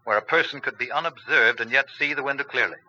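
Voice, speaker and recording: An actor playing a grave, commanding detective chief in a 1940s radio drama, speaking in a serious, measured way. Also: male voice, medium pitch, pushed and bright. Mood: decisive, instructing. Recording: muffled 1940s radio broadcast.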